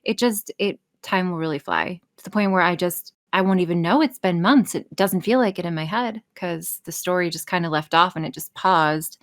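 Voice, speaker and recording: A woman's voice: lowish voice, normal speed, hardly any pauses. A woman chatting casually as a podcast guest, self-deprecating and swearing freely. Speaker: podcast guest, audio creator.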